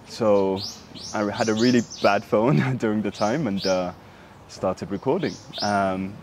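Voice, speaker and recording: A young man from Sudan answering interview questions in a thoughtful, halting way with many pauses and fillers. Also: lowish voice, a little mellow, slight background noise. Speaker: interviewee, human rights activist.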